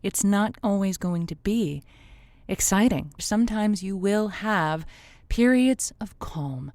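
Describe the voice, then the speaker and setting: A woman acts out an earnest radio advice host in a comedy audio drama. She has a medium pitch and a soothing, indulgent manner, recorded very dry. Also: lively intonation, slow pace, a little mellow, no room sound. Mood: earnest, counselling.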